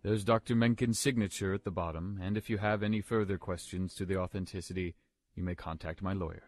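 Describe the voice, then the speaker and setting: A grave, ardent bridegroom played by a man speaks in a fairly low voice. He is assured and declamatory, with the formal emphasis of gothic soap drama. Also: fairly even intonation, a little fast, close to an everyday voice. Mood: assured, firm. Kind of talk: gothic audio drama acting.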